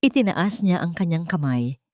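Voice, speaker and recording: Low-voiced woman narrating romance, dry sound. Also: animated, normal speed, a little mellow, dry recording. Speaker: story narrator. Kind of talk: scripted dramatic romance narration.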